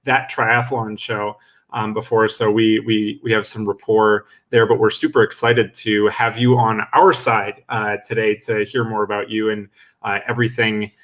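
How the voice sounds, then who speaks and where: A man's voice: fairly low pitch, flat delivery, moderate pace, a bit bright. A young adult man hosting a live-streamed interview webinar over a video call, enthusiastic and full of fillers and restarts. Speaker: webinar host.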